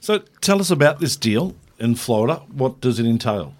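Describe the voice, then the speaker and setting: A man's voice: medium pitch, big pitch swings, normal speed. A man, co-hosting a podcast and teasing his guest sarcastically. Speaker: podcast co-host. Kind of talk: casual chat.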